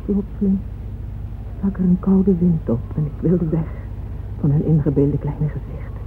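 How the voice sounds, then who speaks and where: A woman's voice: sits low, a little slow. On a thin, phone-like old radio recording with faint noise, a wealthy middle-aged woman confiding her story. She is proud, fervent and yearning, speaking in intimate dramatic monologue. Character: wealthy older widowed mother, passionate, proud, yearning.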